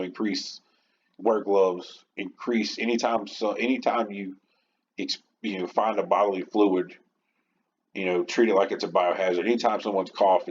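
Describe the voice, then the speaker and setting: A man hosting a podcast, talking in an informal, passionate, rambling monologue without a script. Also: sits low. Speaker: podcast host. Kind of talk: unscripted rant-style podcast monologue. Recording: dull lo-fi audio with clear background noise.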